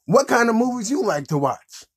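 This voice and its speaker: A man's voice: very high-pitched, very animated, moderate pace, slightly rough. A man in his thirties hosting a children's puppet show in casual, improvised chat.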